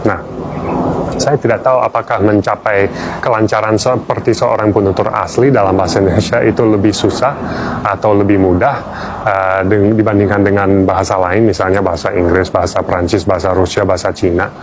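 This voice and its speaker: A man's voice: lowish voice, steady tone, brisk, background noise. A man from Australia, a native English speaker, giving a wry, playful public talk as a language teacher.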